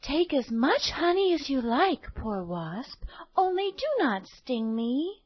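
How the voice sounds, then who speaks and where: High sweet little girl, as a woman puts her on. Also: big pitch swings, takes it slowly, no echo, muffled recording, full character voice. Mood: kind. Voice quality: high, childlike.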